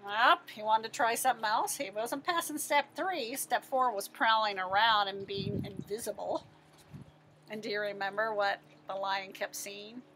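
A middle-aged American woman reads a picture book aloud to children in an expressive, emphatic way, asking questions and answering them. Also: mid-range voice, normal speed, a little hiss or hum, low-quality recording. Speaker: teacher-librarian reading to children. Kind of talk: animated picture-book read-aloud with questions to young listeners.

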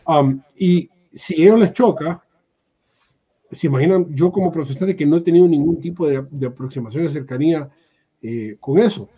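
A Honduran man holds forth on a video call from a room at home. His voice is mellow and slightly husky, and the audio comes through dull and lo-fi. He talks freely, circling back and restarting phrases. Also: a little high, moderate pace. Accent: Honduran Spanish. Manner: talkative, restarts mid-phrase. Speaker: guest. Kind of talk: casual panel chat. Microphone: webcam video call.

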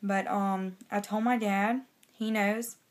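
A young woman with a slightly raspy voice from a cold, chatting casually in a personal vlog into a phone held at arm's length indoors. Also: mid-range voice, fairly even intonation, slow pace. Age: young adult. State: has a cold. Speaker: pregnancy vlogger giving a weekly update. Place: small bedroom indoors.

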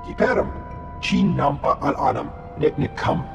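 A man voicing an alien resistance fighter in a video game, with a gravelly voice. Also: fairly high pitch, very animated, moderate pace, a bit bright, soft background music, heavily acted. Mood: matter-of-fact.

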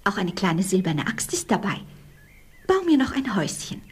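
A woman voicing a little female cat, gentle but commanding mistress of a castle, in a fairy-tale radio play, meowing between phrases. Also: mid-range voice, big pitch swings, a little hiss or hum, close to an everyday voice. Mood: brisk, instructing. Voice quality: breathy, husky, with meows between phrases. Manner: measured, instructing, punctuated with meows.

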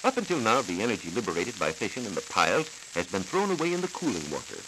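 An American man, a science reporter, explaining in a measured, formal, didactic way from a script on 1940s educational radio, on a hissy old transcription disc. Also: on the low side, wildly swooping pitch. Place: radio studio.

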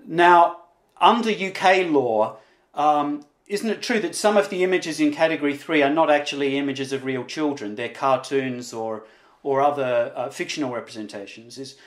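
Male interviewer, forties, slightly high voice, deliberate and hesitant, recorded with clear room echo. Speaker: interviewer, host. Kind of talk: conversational interview. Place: indoors, on video call. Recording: roomy, echoing video call.

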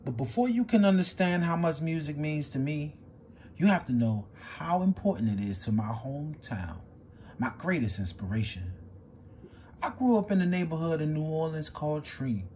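A man reading a picture book aloud with expressive, swinging delivery. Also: normal speed, a little hiss or hum. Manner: expressive storytelling with big swings in pitch and loudness.

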